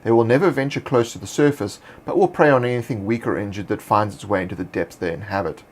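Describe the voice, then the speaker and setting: A man hosting, reading fantasy monster lore in a measured, scripted narration. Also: on the low side. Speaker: host.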